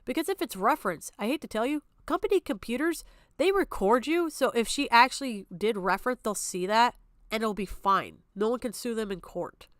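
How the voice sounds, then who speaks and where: A woman's voice: on the high side, animated, brisk, no echo, studio quality. A woman giving off-the-cuff, argumentative stream commentary in a blunt, exasperated, sweary manner. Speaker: streamer reacting to a video.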